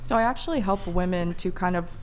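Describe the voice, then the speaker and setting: Woman dating coach, lowish voice, direct and encouraging, slight noise. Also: quick pace. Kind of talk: advice on a panel.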